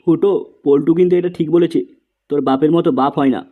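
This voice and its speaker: A man's voice: on the high side, steady tone, fast, narrow, tinny audio, close to an everyday voice. A man voicing a coaxing young peacemaker friend in a comedy cartoon. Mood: curious, coaxing.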